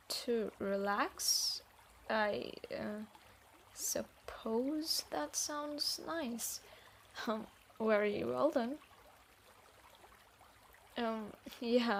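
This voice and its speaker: A woman playing a guarded, troubled thief in a fantasy audio drama, speaking haltingly and reluctantly softening. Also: a little high, creaky, slight background noise, full character voice. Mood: exasperated, wary, then shy, relaxing. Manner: hesitant, sighing, halting delivery. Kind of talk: scripted roleplay audio drama.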